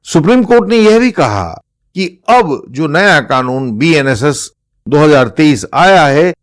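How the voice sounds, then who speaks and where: An Indian man narrates a scripted legal explainer with very animated intonation. His voice sits a little high, and his delivery is formal and emphatic, built for rhetorical effect.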